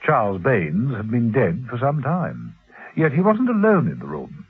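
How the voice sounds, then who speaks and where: A man's voice: mid-range voice, huge pitch swings, a little slow, faint music behind. A man narrating a classic radio spy drama in a theatrical, suspenseful and arch manner. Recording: muffled, husky-sounding old radio recording.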